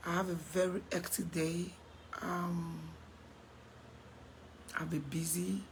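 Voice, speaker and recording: A woman with a Nigerian accent who has lost her voice, speaking hoarsely and slowly, repeating herself and dropping into whispers. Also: on the low side, flat delivery, a little hiss or hum. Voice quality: raspy, cracked voice. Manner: slow, halting, repeats herself, drops to whispers. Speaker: vlogger making an apology video. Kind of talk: casual direct-to-camera apology.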